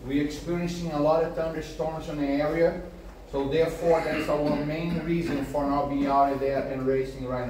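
A man whose first language is Portuguese, speaking earnestly and apologetically to a seminar audience as race organizer, with halting restarts. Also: on the high side, a little mellow, a little hiss or hum. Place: meeting room with large windows. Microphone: far camera. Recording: echoey room from far off.

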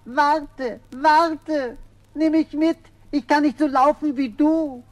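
High-voiced, insistent talking frog. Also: female voice, fairly even intonation, takes it slowly. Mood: calling, pleading. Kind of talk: fairy-tale radio play acting.

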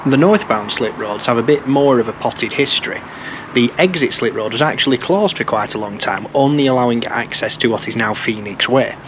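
A man's voice: medium pitch, brisk, over music. A man in his thirties giving informative, wry commentary to camera as a vlog presenter. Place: outdoors beside busy urban roads. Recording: outdoor street noise from passing cars.

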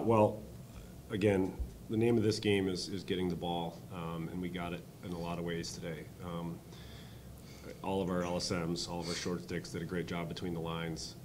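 Man, head coach, press conference, deep voice, monotone, halting, table mic, background rumble. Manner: halting, many ums and uhs, earnest. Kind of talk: postgame press conference answers. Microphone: table mic on stand.

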